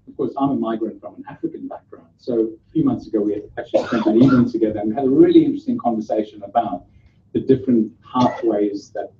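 A man emcees an event, introducing speakers from a podium with easy, joking warmth. His voice is low, the room is echoey, and the recording sounds dull. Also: a little hiss or hum. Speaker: master of ceremonies. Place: conference room, indoors. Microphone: podium mic, far camera.

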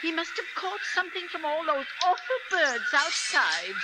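An actress playing a fussy, doting, sharp-tongued elderly mother-in-law in a 1950s radio drama. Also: very high voice, sing-song, normal speed, very noisy. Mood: fretful, cooing. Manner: fussing, scolding, cooing. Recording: vintage radio drama, loud music behind.

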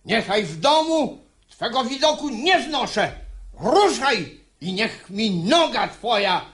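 A man's voice: very high voice, very animated, slow, a bit bright, heavily acted. An actor playing a hot-tempered, gullible, domineering father in a verse radio comedy, blustering and declaiming theatrically. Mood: furious.

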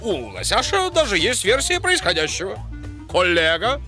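An actor voicing a self-assured, officious animal who makes pompous, reassuring speeches in an educational cartoon. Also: male voice, sits high, wildly swooping pitch, moderate pace, pushed and bright, faint music behind, full character voice. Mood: officious reassurance.

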